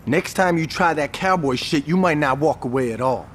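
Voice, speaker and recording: A man's voice: a little high, expressive, moderate pace, slight background noise, close to an everyday voice. An actor playing a calculating, level-headed male gang strategist who lays out plans firmly and with exasperation. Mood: exasperated. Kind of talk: video game cutscene acting.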